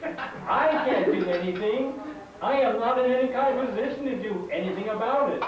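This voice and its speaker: A man's voice: very high-pitched, moderate pace, lo-fi sound. Man voicing an anxious uncle puppet, very echoey. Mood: urgent, pleading. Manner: dramatic, pleading, repetitive. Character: anxious, earnest uncle puppet urging his niece. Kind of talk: puppet show acting. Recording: echoey, distant, noisy live stage recording.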